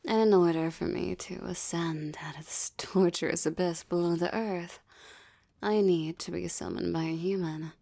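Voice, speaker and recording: Seductive demoness for audio roleplay, acted by a woman: lowish, creaky, ornate diction, teasing and menacing, dry intimate sound. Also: steady tone, dark tone, no echo. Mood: yearning. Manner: slow, breathy, formal and ornate diction, teasing. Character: seductive, menacing adult female succubus demon, teasing and possessive. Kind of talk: intimate audio roleplay, ASMR-like monologue addressed to listener.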